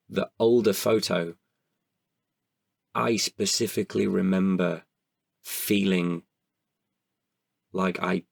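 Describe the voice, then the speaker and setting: Low, gravelly man, candid and reflective. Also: no room sound, clean studio sound. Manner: candid, introspective, hesitant. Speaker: podcast guest, writer and performer. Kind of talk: reflective casual interview talk.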